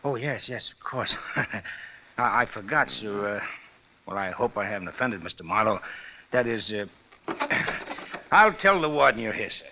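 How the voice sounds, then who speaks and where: A man's voice: fairly low pitch, lively intonation, bright, forceful tone, a little hiss or hum, heavily acted. An American man playing a harried, officious prison desk sergeant in a 1950s radio drama. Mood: flustered. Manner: brisk, officious, flustered hesitations. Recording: muffled old radio recording.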